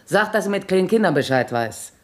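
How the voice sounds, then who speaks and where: An actress playing a brisk, officious employment agent for housemaids in a German radio play, giving clipped commands. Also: female voice, lowish voice, expressive, close to an everyday voice. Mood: businesslike.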